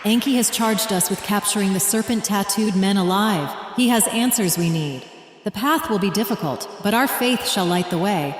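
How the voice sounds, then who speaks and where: A woman's voice: mid-range voice, lightly acted. A woman playing a devout, fervent religious leader in an audio drama, with solemn, declamatory delivery. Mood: resolute. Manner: solemn, declamatory, deliberate oratory. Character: fervent, devout female religious leader rallying her people. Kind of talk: first-person audio drama narration with speeches and prayer. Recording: echoey hall reverb, ambient noise behind.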